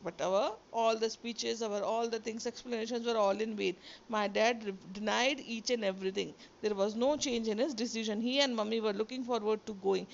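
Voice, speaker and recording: Gravelly Indian woman teacher. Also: a little high, steady tone, a little fast, highs cut off, a little hiss or hum. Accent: Indian English. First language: Sindhi. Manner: reads aloud then re-explains each line, switching into Hindi. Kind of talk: reading and explaining a textbook chapter. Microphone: phone or screen recorder mic.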